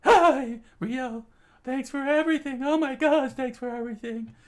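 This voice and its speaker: A woman voicing an anxious, excitable teenage boy who rambles and stumbles over his words. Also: high-pitched, normal speed, lightly acted. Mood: earnest. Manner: rambling, excitable, breaks into laughs.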